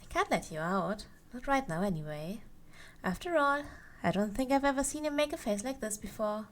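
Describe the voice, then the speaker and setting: A woman performs a yandere Christmas elf in an audio roleplay, her voice a little high. She is playful and sweet on the surface, coyly menacing and possessive underneath, and she lingers over her phrases as she talks straight to the listener. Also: lively intonation, moderate pace, close to an everyday voice. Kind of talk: ASMR roleplay monologue to the listener.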